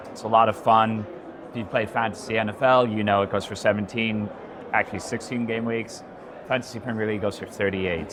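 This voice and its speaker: A man's voice: on the low side, a bit bright. A young man with an Irish accent talking casually as a startup founder being interviewed. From born in America, grew up in Ireland. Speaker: podcast guest, startup founder. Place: indoor event venue, recorded in person.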